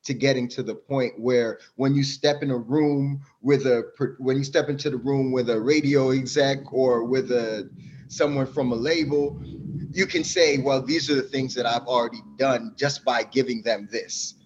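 A man's voice: medium pitch, fairly even intonation, normal speed, a little mellow, highs cut off, a little hiss or hum. A Jamaican-born man talking casually as a podcast guest, explaining things at length in an easygoing way.

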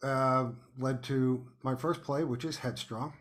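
Middle-aged american guy on a podcast, casual storyteller, medium pitch, acts out his anecdotes. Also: steady tone, slow pace. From New York City, United States. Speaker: podcast guest, playwright.

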